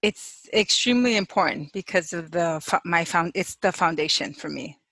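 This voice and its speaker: Bright-voiced woman from Chicago. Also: on the low side, gravelly, no echo. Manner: warm, laughs often, thoughtful pauses with fillers. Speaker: guest, clinical psychologist and sex therapist. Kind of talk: casual interview chat. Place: home office in Hawaii.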